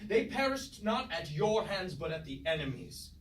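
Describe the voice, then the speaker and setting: A man's voice: high-pitched, sing-song, normal speed, fairly bright tone. A man playing a loyal, embittered robot soldier in a staged tragedy, declaiming in formal, archaic rhetoric. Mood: bitter grief. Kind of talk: staged reading of mock Greek tragedy. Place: indoor performance space, recorded from afar. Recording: echoey stage room, distant mic, faint noise.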